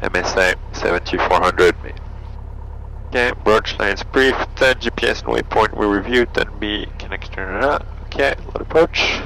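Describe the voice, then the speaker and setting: A man with a low, bright voice runs through flight checklists as the pilot of a small plane. He speaks in short, clipped phrases, thinking aloud, with a gravelly fry and faint cockpit noise behind him. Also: moderate pace, low-quality recording. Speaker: private pilot briefing and flying a small plane. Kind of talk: procedural cockpit briefing and checklist talk. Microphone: aviation headset.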